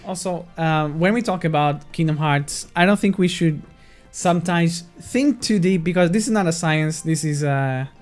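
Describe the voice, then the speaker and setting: A man co-hosting a casual chat, speaking haltingly and often repeating his phrases. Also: fairly high pitch. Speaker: co-host. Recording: faint music behind the voice.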